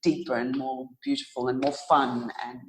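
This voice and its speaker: Earnest, halting woman in interview. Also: very deep voice, level tone, slight background noise, rough recording. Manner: earnest, halting, thoughtful pauses with self-corrections. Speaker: interview guest, author. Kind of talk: reflective, casual interview answers.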